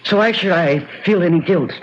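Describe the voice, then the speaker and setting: A woman's voice: lowish voice, normal speed, natural voice. Woman acting defiant cornered radio-drama heroine. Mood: defiant disbelief. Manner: indignant, guarded, clipped. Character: defiant, self-controlled woman cornered by a killer. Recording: muffled, noisy old radio recording.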